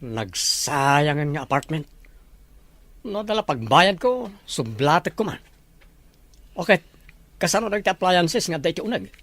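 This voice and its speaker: A woman's voice: sits low, sing-song, a little slow, long pauses, no room sound, heavily acted. A woman playing a frank, questioning friend and confidant in an Ilocano audio drama. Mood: concerned.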